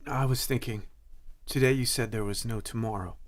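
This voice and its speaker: An actor playing a devoted young boyfriend, romantic and gently persistent, in an audio drama. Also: male voice, medium pitch, steady tone, moderate pace, lightly acted. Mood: tender.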